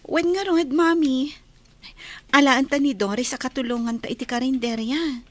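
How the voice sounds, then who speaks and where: A woman's voice: high voice, steady tone, moderate pace, dry recording, lightly acted. A woman with an Ilocano accent playing a shy, modest young canteen server in a radio comedy drama. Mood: daughterly. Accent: Ilocano Filipino.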